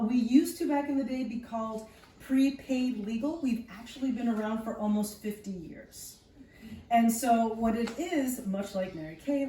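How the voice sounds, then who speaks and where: A woman's voice: fairly high pitch, mellow. Bubbly woman presenting in an echoey room. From United States. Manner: bubbly and enthusiastic, laughing often. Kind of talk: speaking to a live group. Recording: roomy, distant mic, some noise.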